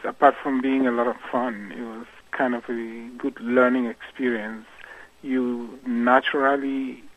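A man's voice: medium pitch, level tone. Man from Cameroon on a phone line. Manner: thoughtful, halting, with many fillers and restarts. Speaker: guest musician. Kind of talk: radio interview.

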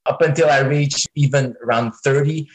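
A man's voice: mid-range voice, steady tone, normal speed, low-quality recording. A man in his forties, a native French speaker with a French-speaking North American accent, answering as a singer-songwriter guest in a casual, rambling way full of "I mean.". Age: 40s. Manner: rambling, leans on filler "I mean".

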